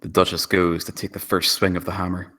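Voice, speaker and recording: A man's voice: low voice, level tone, fairly quick, bright, forceful tone. A man narrating stage directions in a dramatic screenplay table read.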